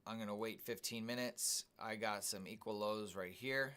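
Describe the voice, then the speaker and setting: A man hosting a live day trading stream, calmly commenting on his trades and greeting viewers in the chat. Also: fairly low pitch, moderate pace, smooth. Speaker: day trading livestream host.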